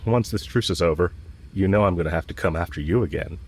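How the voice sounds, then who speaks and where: A man's voice: low voice, quick pace, darkish tone, slightly rough. A man playing a cocky, smitten villain who talks casually, frankly and teasingly to the listener in a romantic audio roleplay. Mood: fond, flirtatious. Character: smitten male villain, cocky, teasing, bluntly confessing love.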